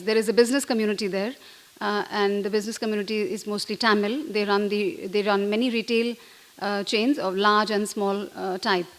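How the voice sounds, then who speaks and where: A middle-aged woman from India, giving an unscripted briefing as an ambassador, hesitant, with many fillers and restarts. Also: medium pitch. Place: conference room.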